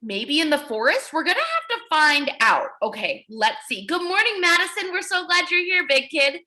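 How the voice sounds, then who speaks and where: A woman reading aloud to young children as their teacher, encouraging and exaggerated, pausing to ask questions. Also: sits high, very animated, pushed and bright.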